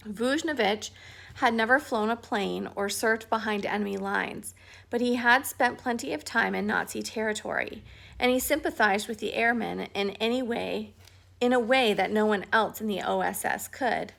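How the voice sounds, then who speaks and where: A woman reading a history book aloud at home, at an unhurried pace and with small restarts. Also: mid-range voice, gravelly, a bit bright. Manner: firm, scolding talk to a pet between passages. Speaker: reader of a book.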